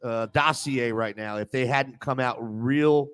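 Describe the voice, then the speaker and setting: A man's voice: medium pitch, a little slow, a bit bright, clean tone. A man hosting a casual sports talk show, speaking in an opinionated, emphatic way and cutting in on banter.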